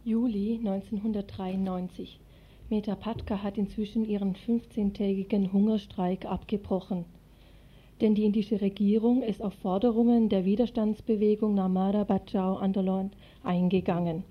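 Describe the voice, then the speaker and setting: A woman's voice: medium pitch, level tone, normal speed, slightly rough. A woman narrating a scripted radio report as a contributor to a news feature. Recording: dull, band-limited radio recording.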